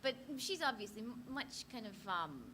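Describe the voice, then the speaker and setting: A woman's voice: on the high side, sing-song, moderate pace, pushed and bright. A woman from South Africa, a voice actress on a convention panel, chatting in a self-deprecating way and laughing often. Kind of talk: casual panel chat. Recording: noisy convention hall mic.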